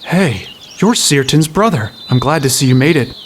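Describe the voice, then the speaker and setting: An actor playing an impulsive, good-natured young hero in an audio drama, quick with baffled wisecracks. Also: male voice, a little high, lively intonation, slight background noise, lightly acted. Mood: confused, surprised. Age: young adult. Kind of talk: audio drama acting and first-person story narration.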